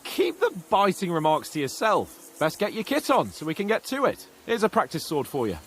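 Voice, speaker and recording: A man's voice: high-pitched, huge pitch swings, moderate pace, slight background noise. An actor playing a proud, bossy young nobleman in a game cutscene, with a scolding, commanding way of talking. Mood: teasing. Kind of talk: video game cutscene acting.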